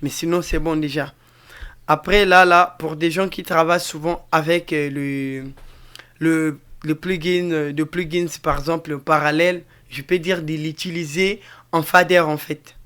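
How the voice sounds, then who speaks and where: A man with a Congolese French accent walks viewers through an informal production tutorial. His voice sits a little high and sounds clean and smooth. He explains in a halting, repetitive way, circling back over each step as he demonstrates it. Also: fairly even intonation, bright, forceful tone. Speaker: music production tutor, mixing engineer.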